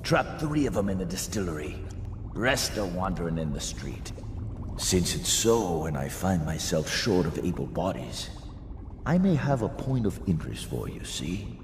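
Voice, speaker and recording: An actor playing a shrewd, menacing street gang boss in a video game, swaggering through rough colloquial talk. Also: male voice, deep voice, big pitch swings, normal speed, noisy background. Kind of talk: video game villain dialogue. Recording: echoey cavernous room.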